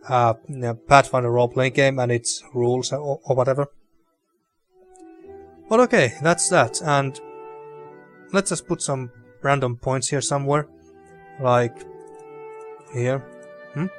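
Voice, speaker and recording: A man's voice: mid-range voice, a little slow, long pauses, faint music behind. A man with a dry throat casually commenting on a game as he plays, thinking aloud. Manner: relaxed, thinking aloud, mildly sweary. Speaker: gaming video host.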